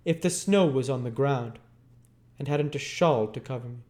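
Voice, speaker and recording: Man putting on an emotional young woman, pitched up. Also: normal speed, a little mellow, close to an everyday voice. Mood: earnest, defiant. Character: emotional young London woman, tender but defiant.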